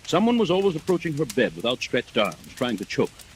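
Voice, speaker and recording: Brisk, skeptical doctor, actor in radio mystery. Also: male voice, medium pitch, very animated, a little hiss or hum, close to an everyday voice. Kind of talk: old-time radio mystery acting.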